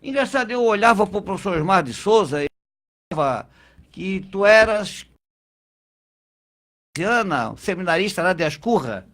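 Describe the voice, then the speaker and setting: A man with a fairly high voice, hosting an interview. He asks informal, curious questions and nudges his guest along with short prompts. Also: big pitch swings, normal speed, long pauses, a bit bright. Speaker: interviewer, host.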